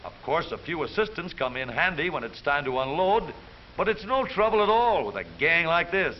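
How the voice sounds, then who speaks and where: An American man delivers jaunty 1930s newsreel narration in a fairly high voice. His theatrical, wisecracking lines swing widely in pitch. The old soundtrack is dull, with a light hiss. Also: big pitch swings, normal speed.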